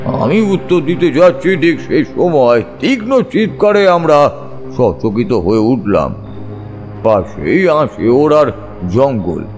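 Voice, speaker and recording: Expressive male ghost story narrator. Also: mid-range voice, slow pace. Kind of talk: dramatic scripted first-person ghost story narration. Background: background music.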